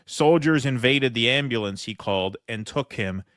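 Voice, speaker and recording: A man's voice: medium pitch, normal speed, fairly bright tone, no room sound, studio quality. A man hosting a political commentary show, reading news reports aloud and commenting with frequent fillers.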